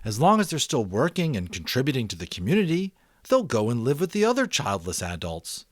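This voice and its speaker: Guy doing an earnest curious kid for an audiobook, pitch hops around, thinking out loud, dry close sound. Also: wildly swooping pitch, dry recording. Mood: earnest, reasoning. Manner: eager, questioning, halting as he reasons. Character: Jonas, earnest curious young boy thinking aloud. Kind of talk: audiobook character voice.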